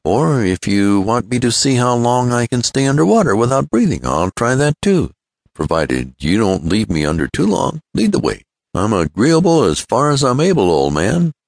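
A man's voice: mid-range voice, sing-song, moderate pace, gravelly, no echo, studio quality, pitched above the performer's own voice. A man voicing an eager, joking young male friend of an inventor in an adventure storybook, breezy, exclamatory and full of questions.

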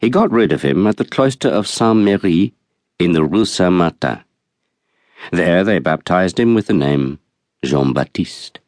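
A man reading a literary novel as an audiobook narrator, in a measured, articulate storytelling manner. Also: deep voice, animated, darkish tone, no echo, clean studio sound.

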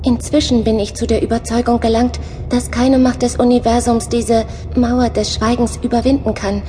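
A lonely female ship's computer, voiced by a woman in a radio drama, speaking in a soft, earnest, searching way with a fairly high voice. Music plays under her lines. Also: level tone, moderate pace, lightly acted. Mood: troubled. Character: female onboard computer, lonely, longing for a body. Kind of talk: audio drama acting.

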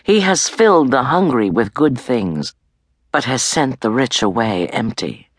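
A humble, devout young woman , acted by a female narrator, recites praise in a scripture audiobook. Her phrases are reverent and measured, with wide melodic movement and very dry sound. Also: extremely low pitch, big pitch swings, slightly rough, no room sound, exaggerated voice.

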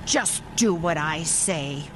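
A woman's voice: deep voice, animated, takes it slowly, a bit bright, slight background noise, exaggerated voice, low-quality recording. A woman playing a haughty, indignant old grandmother in a radio drama, imperious and easily affronted. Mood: outraged, demanding. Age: older.

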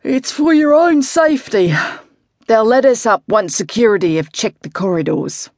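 A woman's voice: fairly high pitch, lively intonation, normal speed, a little mellow, no echo, clean studio sound, pitched above the performer's own voice. A woman doing a sardonic male coach. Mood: needling. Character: middle-aged male cycling coach, sardonic, needling.

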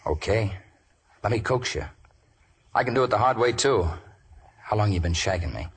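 An actor playing a tough, wisecracking private detective with wry, clipped, menacing slang in 1940s radio style. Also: male voice, lowish voice, lively intonation, moderate pace, breathy edge, heavily acted. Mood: menacing, sardonic. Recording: noisy vintage 1940s radio recording.

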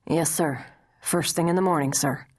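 Woman doing a male sergeant, surly, hungover, grudging, low voice. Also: moderate pace. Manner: grudgingly obedient.

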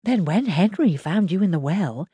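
A woman voicing a soft-spoken, kindly farmer's wife. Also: fairly high pitch, very animated, normal speed, dry recording, pitched below the performer's own voice. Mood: gentle.

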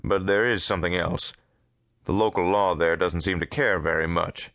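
Man reading as a dry, low-voiced persistent lawman. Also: steady tone, normal speed, a bit bright, no echo. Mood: concerned. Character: dogged, wry lawman coaxing an old friend.

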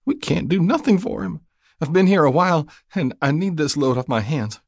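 Casting: man as helpless young country widow for an audiobook, voice pushed high, gasping and pleading. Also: animated, normal speed, creaky, husky, dry recording, studio quality, full character voice. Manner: breathless, pleading, rustic ungrammatical speech. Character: frightened young widow, gasping, helpless, rural mountain woman. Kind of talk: audiobook character dialogue.

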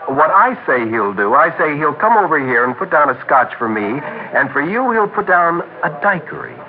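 An actor playing a cocky, menacing spoiled crook who owns a bar, taunting and bossy, in 1960s radio drama style. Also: male voice, a little high, sing-song, normal speed. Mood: smooth, flirtatious. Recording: old radio broadcast, tinny, hissy.

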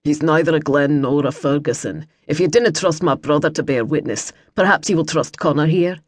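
A woman voicing a stern, measured nobleman in an audiobook, with a husky, formal delivery. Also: low voice, no echo, clean studio sound. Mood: measured, firm. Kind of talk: audiobook character voice.

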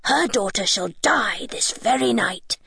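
A woman's voice: on the high side, expressive, a little slow, gravelly, pushed and bright, breathy edge, no echo, heavily acted. Little fairy-tale dog, played by a woman, defiant, sing-song rhyming chant, very dry recording. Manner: chanting in rhyme.

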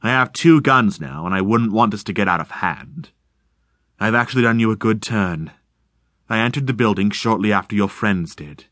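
A man reading aloud, voicing a mysterious unseen stranger in the fog who speaks slowly and formally in a deep, insubstantial voice. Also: a bit bright, no room sound, studio quality, close to an everyday voice. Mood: calm, menacing. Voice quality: deep and insubstantial, slow, measured.

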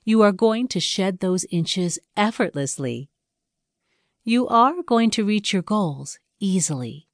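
A woman narrating a scripted guided meditation in a measured, soothing, instructional way with pauses between phrases. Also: medium pitch, expressive, dry recording, clean studio sound.

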